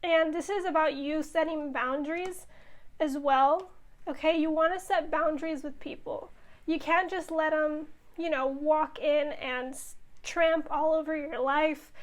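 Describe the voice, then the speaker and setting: High-voiced woman losing her voice. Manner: reassuring, addresses viewers with frequent "okay?". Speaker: tarot reader. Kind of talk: casual tarot reading to camera.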